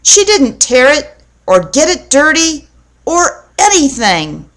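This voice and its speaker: A woman's voice: high voice, big pitch swings, takes it slowly, a bit bright, voice pushed up, heavily acted. A woman voicing a sensitive, earnest little girl in a children's storybook who stands up for herself. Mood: hurt, protesting. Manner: earnest, protesting. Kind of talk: storybook character voice.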